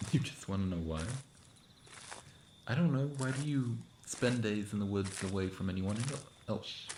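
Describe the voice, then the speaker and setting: A low male voice , a man acting as a playful prankster monster of the woods in an ASMR roleplay. He talks casually and boastfully, teasing the listener like a friend. The sound is roomy, with clear noise behind it. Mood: sheepish, earnest. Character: playful prankster forest cryptid, friendly, mischievous, clawed monster.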